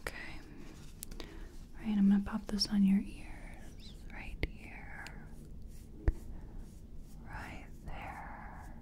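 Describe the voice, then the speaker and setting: A woman playing a gentle, chatty makeup competition contestant in a soft-spoken, whispery ASMR personal attention role-play. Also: mid-range voice, monotone. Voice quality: breathy, whispery. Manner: gentle, unhurried, chatty, reassuring. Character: friendly, self-taught makeup competition contestant.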